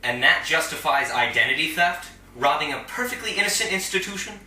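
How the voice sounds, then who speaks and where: A man playing a smug, theatrical superhero group leader in an audio drama, taunting with grand, drawn-out delivery. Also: a little high, lively intonation, bright, forceful tone. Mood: mocking. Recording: roomy, slightly distant microphone.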